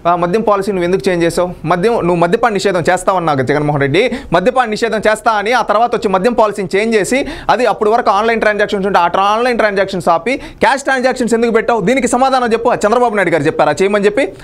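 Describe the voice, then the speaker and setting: A man's voice: sits high, rapid-fire. A man gives unscripted political commentary, accusing and scolding his opponents with pointed rhetorical questions.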